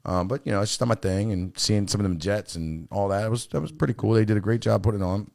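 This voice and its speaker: A man's voice: lowish voice, quick pace, vocal fry, darkish tone, no room sound, clean studio sound. A man hosting a podcast, chatting casually and swearing often, with lots of "you know what I mean". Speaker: podcast host. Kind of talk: casual profane chat. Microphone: studio mic.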